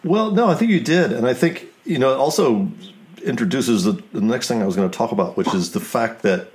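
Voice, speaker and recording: A man's voice: mid-range voice, lively intonation, fairly quick, a little mellow. A man interviewing an author, asking long, enthusiastic questions about the book.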